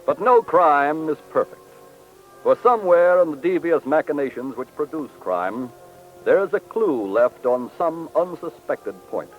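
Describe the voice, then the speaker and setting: Formal high-voiced male radio narrator. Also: animated, unhurried, faint music behind. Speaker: narrator of a crime drama series. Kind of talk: scripted 1940s radio narration. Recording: tinny, band-limited old radio recording.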